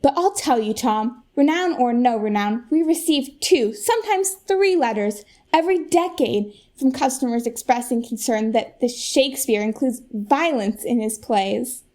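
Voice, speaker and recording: A young American woman playing an earnest, polished corporate saleswoman giving an emphatic keynote pitch in a comic radio play. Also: animated, moderate pace, hardly any pauses, darkish tone, lightly acted. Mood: earnest, persuasive. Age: young adult. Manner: presentational, emphatic.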